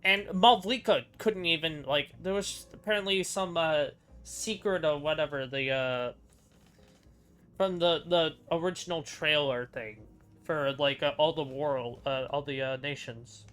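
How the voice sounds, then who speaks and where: A man's voice: on the high side, a little slow, fairly bright tone, clean tone. A man giving casual, exasperated reaction commentary over a video game, often complaining. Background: faint game music.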